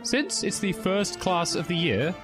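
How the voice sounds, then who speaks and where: Guy doing posh snobby older lady teacher, way higher pitch, music behind. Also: big pitch swings. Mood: haughty. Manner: haughty, drawn-out. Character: snooty, elitist older female professor.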